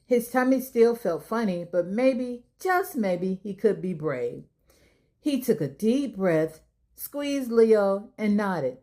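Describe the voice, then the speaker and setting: A woman hosting a children's storytime, reading aloud in an expressive way as if talking straight to young listeners. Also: mid-range voice, slow pace. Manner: expressive, addressing children directly. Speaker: storytime reader and host. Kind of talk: children's read-aloud narration.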